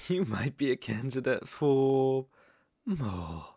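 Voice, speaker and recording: A man's voice: medium pitch, very animated, slow, warm tone, slightly rough, no room sound, studio quality, heavily acted. A British man playing a suave, possessive vampire count in an intimate ASMR roleplay, teasing and commanding in theatrical, old-fashioned phrasing.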